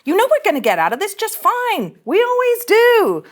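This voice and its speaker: A woman's voice: very high voice, wildly swooping pitch, normal speed, heavily acted. A woman playing a brash, irreverent young starship ensign in a sci-fi comedy, blunt and sarcastic. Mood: exasperated. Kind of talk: animated sci-fi comedy table read.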